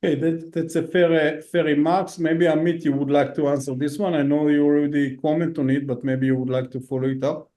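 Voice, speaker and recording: A man's voice: on the high side, flat delivery, brisk, few pauses, mellow. A man speaking as a company chief executive, answering questions on an earnings call.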